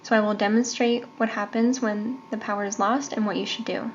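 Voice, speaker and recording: A woman's voice: medium pitch, steady tone, brisk, dull audio, slight background noise. A woman trainer giving a step-by-step instructional demonstration of equipment.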